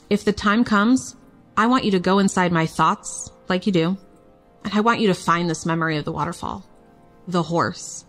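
A woman acting as a wistful but blunt female fighter, with a slightly low voice. She speaks confessionally, as in an audio drama, over faint music. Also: natural voice. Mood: earnest, urgent. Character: wistful, foul-mouthed woman fighter confiding a memory.

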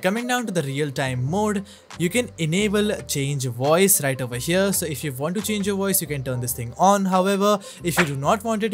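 A man narrates a scripted software tutorial in the manner of an online reviewer. His voice has an ordinary range, and music plays clearly behind him. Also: a little high.

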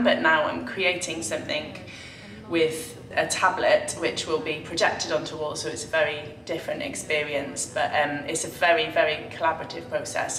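A woman in her thirties, a street artist, talking quickly in an interview with casual filler words. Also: sits low, a bit bright, slight background noise. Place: room with a red wall. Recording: roomy sound from a distance.

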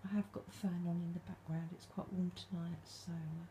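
Hushed, congested woman in a dark bedroom. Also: on the low side, little pitch movement, moderate pace, a little hiss or hum. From England. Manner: hushed, soft-spoken, calling out to spirits. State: congested from hay fever. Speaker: paranormal vlogger. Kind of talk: quiet late-night ghost hunting vlog. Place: her dark bedroom at night, fan running.

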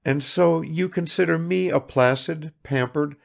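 A man's voice: fairly high pitch, a little slow, gravelly, voice pushed up. A man voicing a proud, world-weary young aristocratic woman in a languid, searching manner for an audiobook. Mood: unhappy, proud. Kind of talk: dramatised literary audiobook dialogue.

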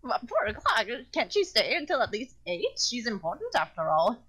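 A woman's voice: on the high side, wildly swooping pitch, moderate pace, a bit bright, husky, heavily acted. A woman voicing a fussy, nagging older cartoon woman, improvised for a comedy fan dub. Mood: indignant.

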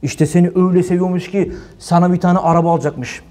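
A man gives an informal religious sermon in a colloquial, animated way, asking rhetorical questions. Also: sits high, fast talker. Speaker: preacher. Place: small room indoors.

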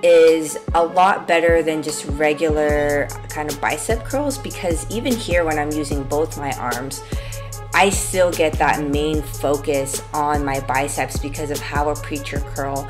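A young woman talks through an arm workout in an easy, upbeat manner, explaining each move as she goes. Her voice sits a little low. Music plays clearly underneath her. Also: a little slow. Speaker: fitness vlogger. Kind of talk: casual workout walkthrough. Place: gym.

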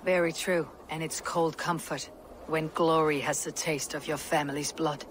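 Actress as stern viking fighter in a game, bold n defiant, terse, noisy background. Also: female voice, sits low, steady tone, moderate pace, lightly acted. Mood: grim resolve. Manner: terse, confident. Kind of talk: dramatic video game acting. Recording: noisy ambience behind the voice.

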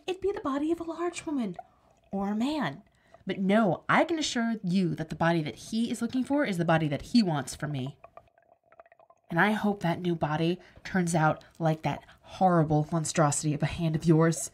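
A woman's voice: mid-range voice, animated, normal speed, lightly acted. Actress doing a bitter lady who's just a living head, sarcastic n theatrical, audio drama style. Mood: scornful. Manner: cutting, sarcastic, theatrical delivery. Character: bitter, wounded woman kept alive as a severed head.

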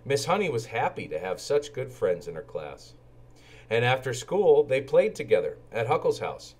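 Man reading a storybook, lively pitch. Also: normal speed, slight background noise. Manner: animated, acts out character lines. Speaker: read-aloud storyteller for children. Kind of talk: expressive children's storybook narration.

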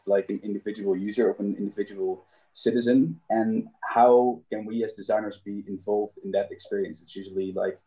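A man's voice: on the low side, mellow, low-quality recording. A graduate student in his twenties on a video call, earnest and peppering his speech with "like". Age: 20s. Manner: earnest, fillers like "like". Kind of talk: casual panel talk.

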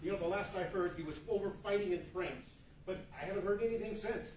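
A man playing an anxious, troubled 1940s radio actor in a stage play, speaking haltingly. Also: high voice, a little mellow, close to an everyday voice. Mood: worried. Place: large indoor meeting room with seated audience. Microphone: far camera. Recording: echoey room from far off, faint noise.

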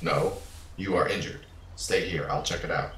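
An actor playing a stern, protective officer in a sci-fi audio drama, with a formal, clipped, dryly sardonic delivery. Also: male voice, low voice, sing-song, normal speed, gravelly, fairly bright tone, echoey room, full character voice.

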